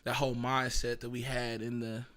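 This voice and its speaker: A man hosting a casual podcast, chatting and telling stories in a slangy, animated way. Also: mid-range voice, normal speed. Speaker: podcast host; teacher. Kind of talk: casual podcast chat and storytelling.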